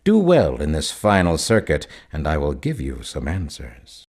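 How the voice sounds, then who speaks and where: A man's voice: extremely low pitch, wildly swooping pitch, a little slow, darkish tone, slightly rough, heavily acted. A man playing a secretive, imperious agent of shadowy investors in a video game, formal and pompous in his speech. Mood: cryptic, commanding. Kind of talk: video game character dialogue.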